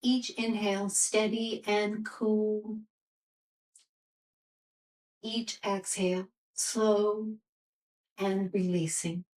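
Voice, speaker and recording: A woman teaching restorative yoga, guiding a meditation in a soothing, gentle, unhurried manner. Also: mid-range voice, level tone, recorded from a distance, low-quality recording.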